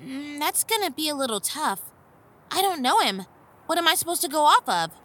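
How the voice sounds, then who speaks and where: High, lilting vampire seer woman by an actress. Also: sing-song, normal speed, bright, forceful tone, exaggerated voice. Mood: light, curious. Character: easygoing, perky female vampire seer. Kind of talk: audio drama acting.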